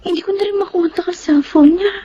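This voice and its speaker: A woman's voice: extremely high pitch, a little fast, dark tone, lo-fi sound, a little hiss or hum. A woman acting an anxious, pleading young mother in a Tagalog radio drama. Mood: worried. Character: anxious young single mother, pregnant, eager to marry.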